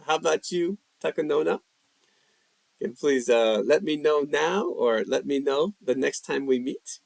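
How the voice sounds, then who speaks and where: Gravelly, unhurried man hosting a live chat. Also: fairly high pitch, darkish tone, no room sound. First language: English. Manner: unhurried, reads chat questions aloud, answers with fillers. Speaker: livestream language host. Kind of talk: casual live chat reading viewer questions.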